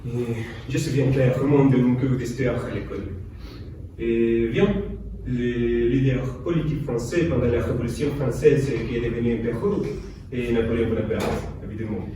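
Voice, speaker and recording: A man's voice: mid-range voice, steady tone, moderate pace, warm tone, close to an everyday voice. A man playing a self-assured, know-it-all contestant in a scripted amateur quiz show dramatisation. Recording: very echoey, distant, noisy background.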